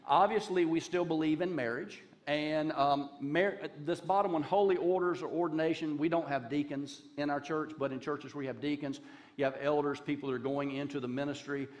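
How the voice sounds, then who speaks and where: A man's voice: a little high, fairly even intonation, fairly quick. Folksy Southern man preaching on stage. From Charlotte, North Carolina. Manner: folksy, joking asides, gestures while pacing the stage. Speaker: guest pastor. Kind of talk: conversational sermon. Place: large dark church stage.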